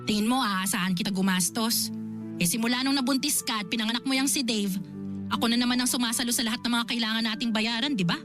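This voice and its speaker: Actress as high-voiced, exasperated Filipina older sister. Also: female voice, fast, music in the background, lightly acted. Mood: indignant. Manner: scolding, emphatic, nagging. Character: exasperated responsible older sister and doting aunt. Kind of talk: Filipino radio drama acting.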